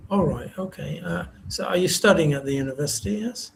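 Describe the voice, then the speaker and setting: A man's voice: on the high side, fairly quick, vocal fry, background noise. A British man teaching English on a video call, patient, filling gaps with okays and stumbling restarts. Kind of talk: casual conversation lesson. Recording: echoey room.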